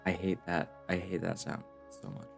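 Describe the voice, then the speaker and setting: Low-voiced man casually live-streaming. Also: sing-song, normal speed, vocal fry. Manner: rambling, jokey reactions. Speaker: video game streamer. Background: game music.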